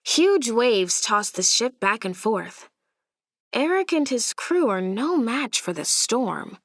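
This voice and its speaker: Woman, children's storybook narrator, expressive and dramatic, very varied pitch, slow deliberate pacing. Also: no echo, clean studio sound.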